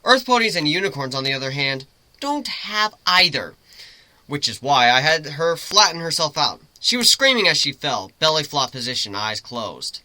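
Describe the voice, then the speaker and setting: Bright, animated male story narrator. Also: a little high, moderate pace, slight background noise. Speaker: story reader. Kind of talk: dramatic first-person fiction narration.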